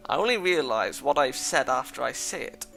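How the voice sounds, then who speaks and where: A man's voice: mid-range voice, expressive, normal speed, creaky, bright, forceful tone. A man reading a thoughtful teenage schoolboy's lines and inner narration in a plain, reflective way, with faint music behind.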